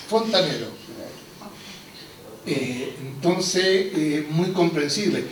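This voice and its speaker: A man from Chile with a slightly high voice talks at a panel, hesitant and full of fillers. He sounds far from the mic in an echoey hall. A faint hiss sits under his voice. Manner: hesitant, many fillers and restarts. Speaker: hotel owner speaking at a panel. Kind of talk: unscripted public remarks.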